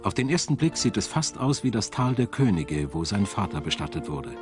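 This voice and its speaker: A man's voice: fairly low pitch, big pitch swings, fairly quick, loud music behind the voice. A man reading scripted narration for a documentary.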